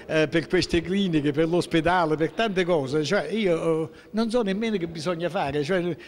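Older guy in his 60s, southern lazio italian accent, high voice, worked up and halting, hallway interview. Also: big-room sound. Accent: central-southern Italian, southern Lazio. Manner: emphatic, aggrieved, halting with repeated rhetorical questions. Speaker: interviewee, local political figure. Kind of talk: impromptu spoken interview. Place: indoor hallway of a public building. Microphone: handheld interview microphone held toward him.

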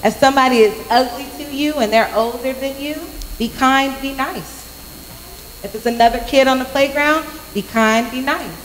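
A young woman giving a youth message at church, telling a story with lively acted-out voices into a handheld mic. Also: sits high, moderate pace, slight background noise. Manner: animated storytelling, acting out quoted voices. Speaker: youth speaker. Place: large church sanctuary with congregation. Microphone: handheld microphone. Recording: echoey sanctuary from a far camera.

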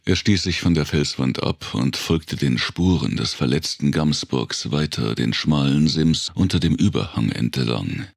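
Very deep, gravelly male audiobook narrator. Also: measured pace, hardly any pauses, no room sound, studio quality. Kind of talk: dramatic scripted narration of a dark thriller.

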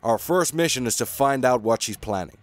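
A man's voice: mid-range voice, lively intonation, faint music behind, close to an everyday voice. Man acting as a formal, grave secret-agent commander. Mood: serious, briefing. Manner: formal, deliberate, urgent. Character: grave covert-agency commander, adult male. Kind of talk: cartoonish game roleplay acting.

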